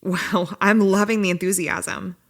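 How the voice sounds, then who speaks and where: A woman's voice: medium pitch, fairly even intonation, unhurried, gravelly. A woman playing a keen, suspicious local newspaper reporter in an audio drama. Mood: impressed.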